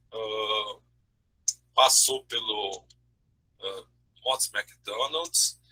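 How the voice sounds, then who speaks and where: A man from Brazil hosting a webinar, introducing a speaker in a halting way with frequent tag questions. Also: on the low side, sharp tone, slightly rough, low-quality recording. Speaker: webinar host. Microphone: video call.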